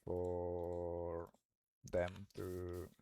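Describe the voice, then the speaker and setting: A man from Colombia with a Colombian Spanish accent, Spanish as his first language, giving relaxed gameplay commentary while hesitating and searching for words. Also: deep voice, very slow, clear, resonant tone, no echo. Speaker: let's play creator.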